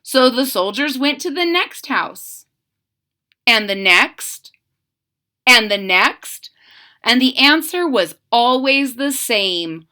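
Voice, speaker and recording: A woman reading a picture book aloud to children, with an expressive voice and questions asked straight to her young listeners. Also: high voice, slow pace.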